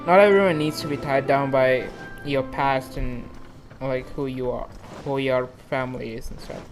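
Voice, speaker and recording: Casting: male gaming reaction host, informal, excitable rambler, mid-pitched voice, clear music underneath. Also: moderate pace. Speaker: video game reaction host. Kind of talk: casual reaction commentary. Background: game music.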